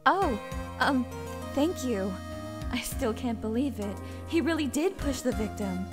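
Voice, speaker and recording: A woman's voice: a little high, expressive, measured pace. A woman playing a feisty, exasperated young defense attorney, wry and urgent, in a dramatic fan dub. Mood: uneasy, determined. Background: music.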